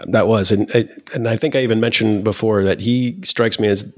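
Halting deadpan man, low voice. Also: no room sound, studio quality. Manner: halting, many restarts and fillers. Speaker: podcast host. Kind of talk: casual conversation.

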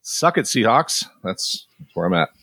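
A man's voice: fairly low pitch, very animated, unhurried, bright, forceful tone, slightly rough, no room sound. A man joining as a guest co-host, cheerful and teasing in casual chat.

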